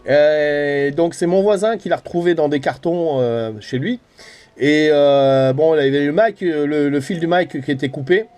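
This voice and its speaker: A man's voice: on the high side, moderate pace, darkish tone, clean tone, faint music behind. A middle-aged man from France chatting casually and colloquially as a hobby CB radio operator. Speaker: amateur CB radio operator and vlogger. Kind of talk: casual chat over CB radio.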